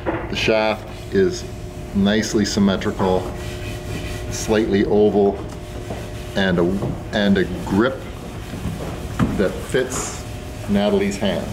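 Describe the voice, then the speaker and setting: An older man, a craft teacher, explaining and appraising in deliberate, separated phrases. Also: lowish voice, fairly even intonation. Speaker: paddle-carving teacher. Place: wooden workshop indoors. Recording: noisy workshop.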